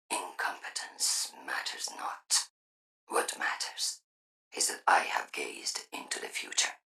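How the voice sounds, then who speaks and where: An actress playing an imperious robot demagogue giving an ominous, deliberate propaganda broadcast in a video game, with a husky, breathy voice. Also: female voice, rumbling low, bright, forceful tone, distant mic, full character voice, rough recording. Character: imperious female robot demagogue warning of invasion.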